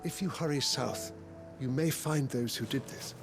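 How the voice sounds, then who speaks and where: Man playing a gravelly, courteous noble's attendant. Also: mid-range voice, over music, close to an everyday voice. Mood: deferential, earnest. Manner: formal, polite, measured. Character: courteous, deferential gentleman attendant to a noble house. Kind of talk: video game cutscene acting.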